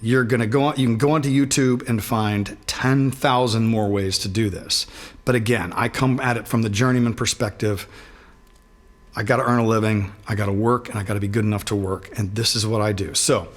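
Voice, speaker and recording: A middle-aged man with a raspy voice and sinus trouble, teaching a singing warm-up in a relaxed, self-deprecating way. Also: lowish voice, a little fast. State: sinus trouble, throat not feeling great. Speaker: guitarist giving a singing lesson. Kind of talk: casual instructional talk.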